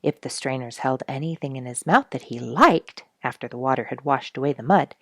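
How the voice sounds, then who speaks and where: A woman reading a children's story aloud as narrator, with expressive storybook phrasing. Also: a bass voice, a little fast, dry recording, clean studio sound.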